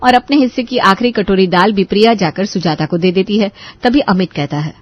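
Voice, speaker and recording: A woman's voice: medium pitch, brisk, dry recording, highs cut off. Woman narrating a story, dry close sound. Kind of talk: scripted bedtime story narration.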